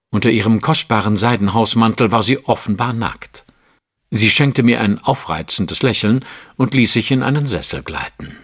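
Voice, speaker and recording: Wry, animated gentleman detective. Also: on the low side, husky. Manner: dry, formal, precise, wry. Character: refined, self-assured male Victorian detective recounting his case. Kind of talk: first-person audio drama narration. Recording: faint music under the voice. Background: music.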